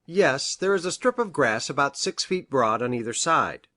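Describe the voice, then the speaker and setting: A woman acts out a grave gentleman doctor from a detective storybook. He speaks formally and precisely, in measured sentences, with a lively rise and fall in pitch. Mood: matter-of-fact. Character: grave, hesitant male country doctor, troubled witness. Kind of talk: audiobook character reading.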